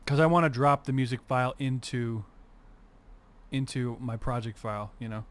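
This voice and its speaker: A man's voice: medium pitch, steady tone, normal speed. A young man with a congested, sniffly voice, chatting casually on a livestream, swearing freely and muttering to himself as he works on a music remix. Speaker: streamer making a music remix.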